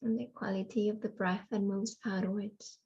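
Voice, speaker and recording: A woman leads a guided relaxation as a yoga nidra teacher. Her voice is mellow and dark, with very little rise and fall in pitch. She speaks soothingly, in unhurried phrases separated by long pauses. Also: steady tone, creaky, husky, lo-fi sound. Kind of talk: guided meditation.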